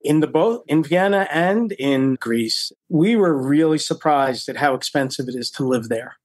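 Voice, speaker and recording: A man's voice: fairly high pitch, lively intonation, moderate pace, no echo. An older American man chatting casually as an interview guest and telling stories from his own experience. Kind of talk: casual storytelling chat.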